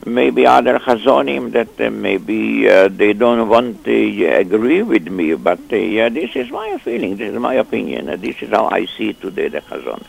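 An 80-year-old man, a cantor, speaking haltingly in a radio interview with many restarts. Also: fairly low pitch, very animated, creaky, a little hiss or hum, poor audio. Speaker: cantor guest.

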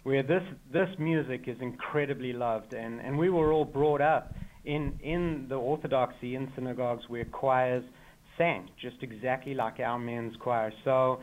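A man's voice: mid-range voice, fairly even intonation, a little slow, highs cut off. A man with a South African accent, a choir member being interviewed on radio, speaking with eager, effusive enthusiasm.

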